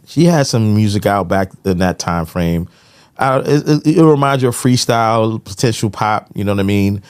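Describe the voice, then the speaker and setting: A man's voice: lowish voice, expressive, normal speed, a little mellow, smooth, no echo, studio quality. A man, hosting a casual podcast and telling laid-back stories with lots of "you know what I'm saying". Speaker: podcast host and producer.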